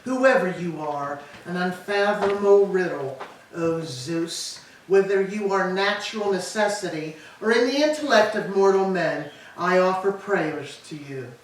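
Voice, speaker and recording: A woman's voice: fairly low pitch, animated, a little slow. A woman playing a grieving, vindictive old Trojan queen in a staged tragedy reading, declaiming solemnly and fiercely. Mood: reverent. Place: church, live staged reading. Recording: echoey church, distant mic, faint noise.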